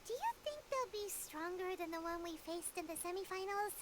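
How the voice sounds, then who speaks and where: A small, cheeky game companion chatters in an extremely high, childlike voice. The delivery is perky and blunt, with pitch bouncing all over. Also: female voice, animated, brisk, noisy background. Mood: curious. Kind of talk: video game voice acting.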